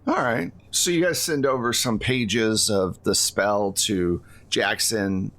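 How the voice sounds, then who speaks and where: A man's voice: on the low side, slow, fairly bright tone. A man working as game master, recapping and narrating a tabletop game in a conversational storytelling way.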